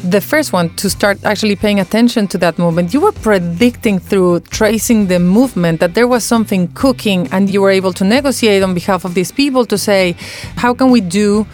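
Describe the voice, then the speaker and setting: A woman's voice: mid-range voice, a little fast, a little mellow, soft background music. Woman presenter, probing interviewer. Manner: engaged, probing questions. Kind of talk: interview questions and scripted narration.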